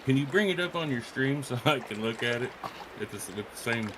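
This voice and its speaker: A man's voice: medium pitch, animated, brisk, a bit bright. A man chatting casually on a live stream, blunt and prone to swearing. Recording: clearly noisy background.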